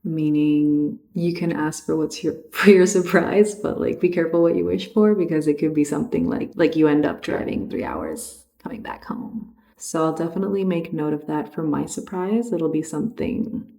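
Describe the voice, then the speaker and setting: A tired woman vlogger who natively speaks Spanish, chatting casually and reflectively, sometimes halting to rephrase. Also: deep voice, vocal fry, mellow. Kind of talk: casual reflective chat to camera.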